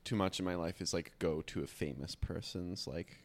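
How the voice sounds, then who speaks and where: Deep-voiced man, laid-back and wry. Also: normal speed. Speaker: podcast co-host. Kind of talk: casual chat.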